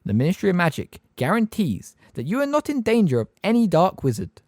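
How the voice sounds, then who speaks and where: Man voicing a high, prim witch teacher, sickly sweet, cold, slow and deliberate, dry close sound. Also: wildly swooping pitch, darkish tone, no room sound. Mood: sugary stern. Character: sugary, cold, pompous middle-aged witch teacher.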